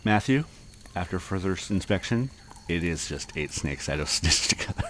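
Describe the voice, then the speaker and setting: A man co-hosting a comedy podcast, improvising a silly horror story with deadpan running gags. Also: sits low, normal speed, noisy background. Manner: deadpan, running gag delivery.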